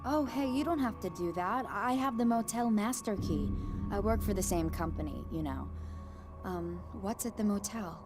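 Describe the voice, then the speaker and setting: An uneasy young woman played by an actress in a video game, speaking at a middle pitch. She is hesitant and halting, natural in delivery, with clear music underneath. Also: natural voice. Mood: nervous, hesitant.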